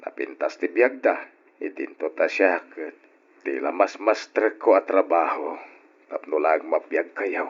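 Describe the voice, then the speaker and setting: A man's voice: sits low, measured pace, slightly rough. Humble father, muffled radio drama. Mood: regretful. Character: humble hardworking family father, proud and wistful. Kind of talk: Ilocano radio drama acting. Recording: dull lo-fi radio recording.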